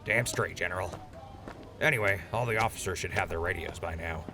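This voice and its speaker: An actor playing an easygoing male officer in an audio drama, talking in a relaxed, folksy way. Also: sits low, fairly quick, noisy background.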